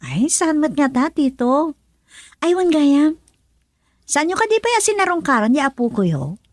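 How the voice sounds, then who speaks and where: Radio drama matriarch, played by an actress: Filipino, outspoken, protective, fairly high voice, close dry recording. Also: female voice, sing-song, moderate pace, dry recording. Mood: polite, curious.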